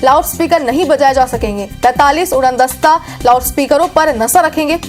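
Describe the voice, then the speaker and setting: A woman's voice: sits high, fairly quick, soft background music. A woman presenting live television news, addressing viewers directly and restating her points. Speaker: news anchor.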